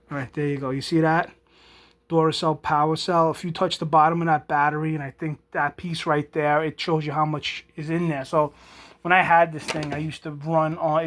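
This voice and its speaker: A man's voice: a little high, a bit bright. A man, casually showing an old item and rambling with many restarts. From New York. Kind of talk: casual show-and-tell.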